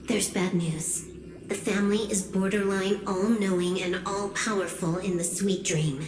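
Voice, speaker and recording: A woman's voice: mid-range voice, steady tone, unhurried, lightly acted. An actress voicing a cool, cautious young woman hacker and strategist in an anime game, dry and matter-of-fact. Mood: cool, serious. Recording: echoey room, music behind. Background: game music.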